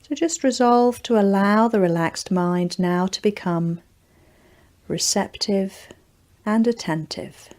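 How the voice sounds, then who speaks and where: A woman leading a guided yoga nidra relaxation, giving gentle, deliberate instructions in short, spaced phrases. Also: medium pitch.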